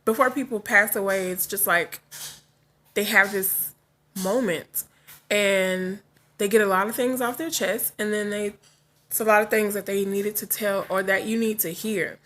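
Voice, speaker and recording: A woman's voice: mid-range voice, fairly even intonation, unhurried, fairly bright tone. Woman, forties, vlogger, casual chat, frank, chatty, laughs often, just woke up. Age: 40s.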